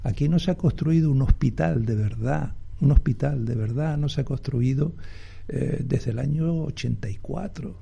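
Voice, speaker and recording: An older male doctor, interviewed on radio, speaking in a halting way with many restarts and fillers. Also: mid-range voice, warm tone. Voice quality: gravelly, husky. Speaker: doctor and health advocacy guest. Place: radio studio.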